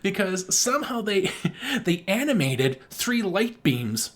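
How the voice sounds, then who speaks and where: Animated, enthusiastic podcast co-host. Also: medium pitch, slow. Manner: enthusiastic, emphatic, frequently swearing. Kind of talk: casual film review chat.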